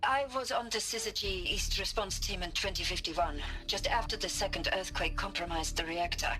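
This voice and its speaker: A woman, performed by an actress, speaks as a haunted expert in a video game drama, with music behind her. Her lowish voice is weary and deliberate, with a defiant, pointed edge. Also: lightly acted.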